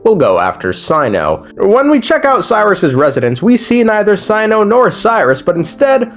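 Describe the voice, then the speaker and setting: A man's voice: high voice, wildly swooping pitch, normal speed. A man narrating a video game story recap in a casual, scripted way, with slangy, irreverent asides.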